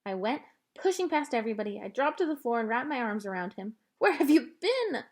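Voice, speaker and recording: A woman reading a children's novel aloud as an expressive storybook narrator. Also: on the high side, quick pace. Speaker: storybook reader.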